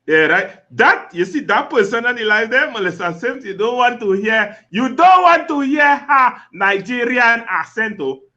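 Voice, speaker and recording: High, bright man joking. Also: big pitch swings, moderate pace, hardly any pauses. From Trinidad. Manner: jokey, laughs often, peppers talk with "you know". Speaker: talk show guest. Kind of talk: casual chat, comic storytelling. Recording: dull lo-fi livestream audio.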